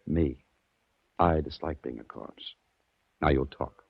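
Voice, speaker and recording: An actor playing a wry, tough amateur sleuth in a 1940s radio detective drama, with a hard-boiled, wisecracking delivery. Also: male voice, very deep voice, slow pace, vocal fry, slightly rough, no echo, heavily acted. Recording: muffled 1940s radio recording.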